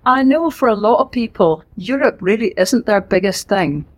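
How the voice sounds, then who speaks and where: A woman's voice: medium pitch, moderate pace, no room sound. Emphatic Northern Irish woman giving a talk. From Northern Ireland. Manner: lively, emphatic and conversational, with asides. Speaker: author giving a book talk. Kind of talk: public talk to a live audience. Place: library event room.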